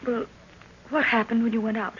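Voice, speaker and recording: Tender young radio heroine. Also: female voice, a little high, flat delivery, brisk, fairly bright tone, husky. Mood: concerned. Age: young adult. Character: tender, concerned young daughter and sweetheart. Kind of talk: 1930s radio acting. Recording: muffled, faintly noisy 1930s radio recording.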